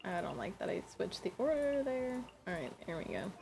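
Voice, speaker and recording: A woman's voice: medium pitch, sing-song, moderate pace, gravelly, a little mellow, soft background music. A woman streaming a game, commenting casually and musing aloud over her choices.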